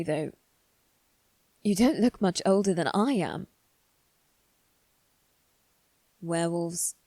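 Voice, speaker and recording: A woman voicing a guarded, haughty young noble boy in a scripted ASMR roleplay, with measured, formal phrasing. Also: fairly low pitch, darkish tone, no room sound. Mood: probing, skeptical. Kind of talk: ASMR roleplay, one-sided scripted dialogue.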